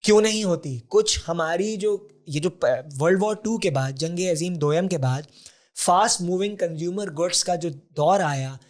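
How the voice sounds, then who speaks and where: A man's voice: high-pitched, unhurried. A man, a podcast guest who explains things earnestly and drops English terms into his talk. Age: 40s. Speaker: podcast guest, health advocate. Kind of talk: conversational interview.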